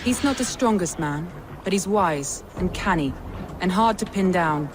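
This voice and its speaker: An actress voicing a proud, resolute Norse warlord in a video game cutscene, speaking in a measured, guarded way. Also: female voice, on the low side, lively intonation, background noise. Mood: earnest. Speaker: Norse lord of a city.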